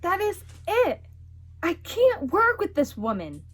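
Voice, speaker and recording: Sharp-tongued ex-wife actress played by a woman, lively swings, high voice, 1940s radio comedy. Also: slow pace, full character voice. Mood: curt, fed up.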